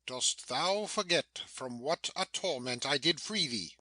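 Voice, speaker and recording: A man playing a stern, commanding sorcerer master in a dramatic Shakespeare reading, declamatory and quick to scold. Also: medium pitch, animated, bright, forceful tone, dry recording, exaggerated voice. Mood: scolding anger. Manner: declamatory, imperious.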